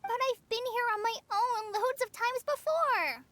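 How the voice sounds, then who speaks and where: A woman's voice: extremely high pitch, measured pace, a bit bright, slight background noise. A woman voicing a shy, polite teenage girl in an anime game, earnest and a little hesitant.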